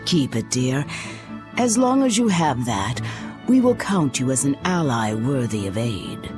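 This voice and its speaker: A woman's voice: very deep voice, sing-song, unhurried, over music, exaggerated voice. A woman voicing a shrewd, courteous old female informant in a video game, wry and genteel. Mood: gently knowing. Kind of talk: video game cutscene voice acting.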